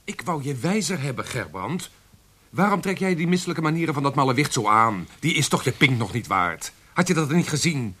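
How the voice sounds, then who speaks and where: An actor playing a young well-born gentleman in a Dutch historical radio drama, sociable and frank, chiding a friend. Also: on the high side, very animated, a little fast, slightly rough, full character voice. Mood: exasperated, advising.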